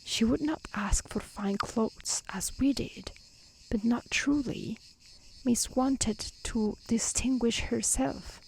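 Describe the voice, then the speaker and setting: A woman voicing a spiteful, envious elder sister in a soft, accusing storybook reading. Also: a little high, takes it slowly, vocal fry, a little hiss or hum, pitched above the performer's own voice, rough recording.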